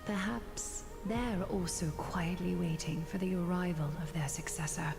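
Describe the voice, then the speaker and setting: Low, unhurried, cool noblewoman. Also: steady tone, gravelly. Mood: cool, pensive. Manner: measured, reserved. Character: composed, solemn noblewoman, cool and guarded. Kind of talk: video game voice acting. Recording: echoey, reverberant sound. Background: background music.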